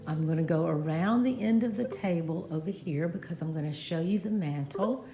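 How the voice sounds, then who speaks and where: A woman giving a slow, detailed home tour of her dining room decorations, with frequent fillers as she describes each piece. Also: sits low. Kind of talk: casual home tour narration. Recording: echoey room with music behind. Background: music playing from a smart speaker.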